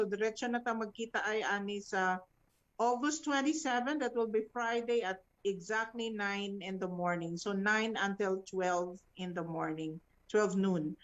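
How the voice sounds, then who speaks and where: A woman's voice: mid-range voice, moderate pace, a bit bright. A woman with a Filipino accent and Cebuano as her native language, briefing a meeting informally in an instructive way, often adding little checking tags. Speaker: program coordinator leading an online meeting. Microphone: video call. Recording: dull, lo-fi video call audio.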